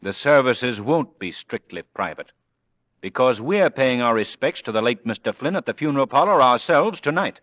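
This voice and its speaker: A confident, scheming gentleman sleuth acted by a man in a radio mystery. His speech is smooth and wry with lively intonation, heard on a slightly muffled old recording. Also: medium pitch, normal speed, lightly acted. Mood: sceptical, resolute. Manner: smooth-talking, sardonic, assured. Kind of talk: old-time radio drama acting.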